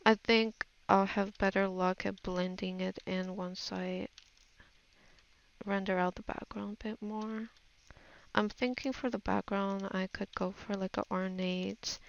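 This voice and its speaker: A woman's voice: mid-range voice, gravelly. A woman giving a casual voice-over tutorial on her art, thinking aloud in a musing, hesitant way as if half talking to herself. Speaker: digital artist giving a tutorial.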